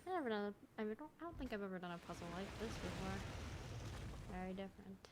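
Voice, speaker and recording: A woman's voice: mid-range voice, expressive, fast talker, noisy background. A woman giving casual gameplay commentary, muttering to herself and exclaiming in exasperation as she plays. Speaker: gamer recording a playthrough.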